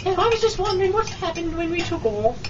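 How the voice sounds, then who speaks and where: A woman playing a gushing, excitable duchess in an old-time radio comedy. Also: very high-pitched, fast. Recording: dull, distant live recording, background noise.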